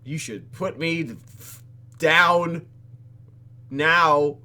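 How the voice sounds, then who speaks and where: A man's voice: on the high side, extremely slow, pushed and bright, pitched above the performer's own voice, exaggerated voice. A man voicing an awkward, flustered young woman from a visual novel, hammy and stammering. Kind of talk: hammy dialogue reading in a game video.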